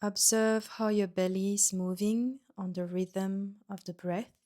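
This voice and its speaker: A woman guiding a yoga nidra meditation in a gentle, soothing manner, leaving pauses between short instructions. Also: mid-range voice, steady tone. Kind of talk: guided meditation.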